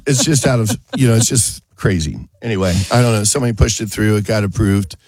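A man's voice: lowish voice, fast, darkish tone, husky, no room sound. A man hosting a gardening radio show while getting over a cold, chatting casually and joking between chuckles. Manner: joking, rambling, chuckles often. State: has a cold.

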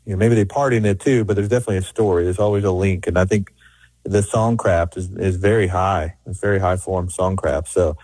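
A man's voice: low-pitched, steady tone, brisk, darkish tone, breathy edge, no echo. A middle-aged man, a record producer, talking in an interview with many restarts and fillers. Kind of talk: conversational interview.

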